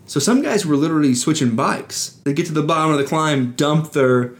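A man's voice: fairly high pitch, expressive, brisk. A man co-hosting a casual podcast, eagerly explaining bike racing to his friends. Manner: enthusiastic, explains cycling. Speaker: podcast co-host. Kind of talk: casual chat.

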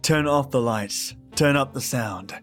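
A man's voice: medium pitch, unhurried. Chatty male podcast host over guitar. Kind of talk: casual scripted show introduction. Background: soft guitar music.

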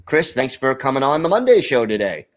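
A man's voice: medium pitch, big pitch swings, a little fast. A man hosting a sports podcast, chatting in a friendly, upbeat way with his guests. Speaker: podcast host. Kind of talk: sports podcast chat and read ad.

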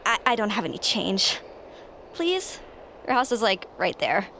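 A woman's voice: mid-range voice, big pitch swings, measured pace, sharp tone, slight background noise, full character voice. An actress playing an eerie, pushy teenage girl who feigns friendliness with an insistent, wheedling manner in an audio drama. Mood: insistent pleading. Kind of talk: naturalistic audio drama acting.

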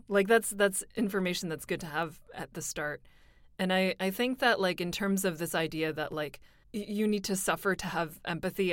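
A female voice actor talking thoughtfully about her character, often pausing to find words. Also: lowish voice, dry recording. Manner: thoughtful, trails off in pauses. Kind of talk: casual behind-the-scenes chat.

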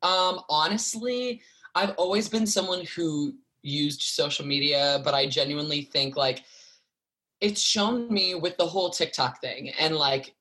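A man's voice: a little high, bright, forceful tone. A young man in his twenties, a stage performer talking casually as an interview guest, chatty with many fillers. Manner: chatty, lots of likes and fillers.

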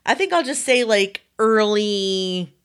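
A woman in her forties talking in an animated, self-deprecating way as a co-host in a casual chat. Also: mid-range voice, takes it slowly, clear, resonant tone. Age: 40s. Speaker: podcast co-host.

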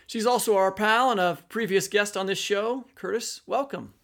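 Male American podcast co-host, fairly high voice with plenty of fry, conversational delivery. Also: moderate pace, fairly bright tone.

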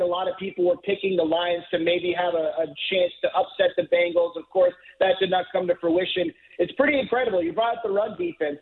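A man calling in as a football writer, talking analytically and fluently, now and then repeating a word as he starts a thought. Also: high voice. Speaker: NFL writer guest. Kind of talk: phone-in radio interview. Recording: tinny phone line.

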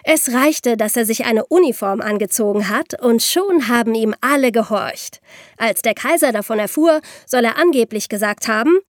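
A woman co-hosting an explanatory history podcast, speaking clearly and emphatically. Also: on the high side, expressive, moderate pace, no room sound, studio quality. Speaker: podcast co-host.

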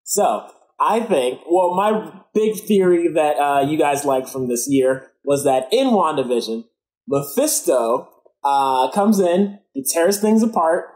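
Midwestern man pitching a fan theory. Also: lively intonation, takes it slowly, darkish tone, rough recording. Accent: Midwestern American. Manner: chatty, laughing, builds a theory step by step. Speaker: panelist.

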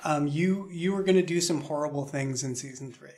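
A man's voice: fairly high pitch, fairly quick, a little mellow. A man hosting a casual chat, speaking a little hesitantly as he wraps up. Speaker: host, show creator.

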